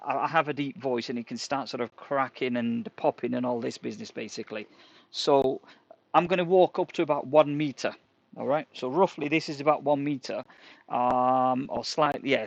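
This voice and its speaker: Man, workshop owner, talks through a headset mic with lots of fillers, dry and close, mid-pitched. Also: gravelly, no room sound, dull audio. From England. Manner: rambling and hesitant, many fillers and "basically". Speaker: repair shop owner reviewing a product. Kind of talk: casual product test vlog. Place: indoor workshop, walking between rooms. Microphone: wireless earpiece, later a wired headset.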